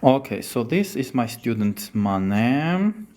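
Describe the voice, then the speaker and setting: A man with an Armenian accent, a native Armenian speaker, talks learners through a test screen by screen at a slow, unhurried pace. His pitch sits in the middle range, and his delivery is hesitant, with frequent pauses and checking questions. A faint hiss runs under the recording. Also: sing-song. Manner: unhurried, hesitant, adds checking tags like "yes?". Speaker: English teacher. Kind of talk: screen-share lesson walkthrough.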